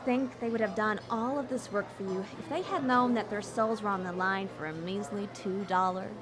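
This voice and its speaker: An actress playing a wry, teasing, eager assistant to a soul collector in a live radio drama. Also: female voice, mid-range voice, normal speed, music in the background, lightly acted.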